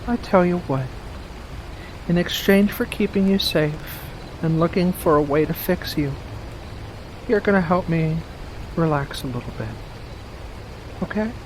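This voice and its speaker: An ASMR performer voicing a doting, playful, sweetly possessive witch in a soft-spoken roleplay, cooing and coaxing with hums and pet names, over a noisy background. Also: medium pitch, measured pace, pauses often, close to an everyday voice. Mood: gentle, coaxing.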